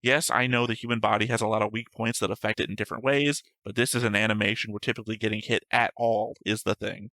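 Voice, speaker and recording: Bright-voiced man, chatty and self-deprecating. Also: lowish voice, flat delivery, fast talker, hardly any pauses, no echo. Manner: chatty, self-deprecating, long winding explanations. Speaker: reaction show co-host. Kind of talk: casual discussion of an anime episode.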